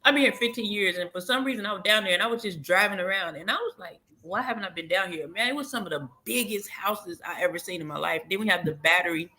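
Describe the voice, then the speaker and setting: A woman with a bright, forceful voice chats casually as a guest on a livestream. Her talk is laid-back and colloquial. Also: mid-range voice, fast, few pauses. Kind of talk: casual chat.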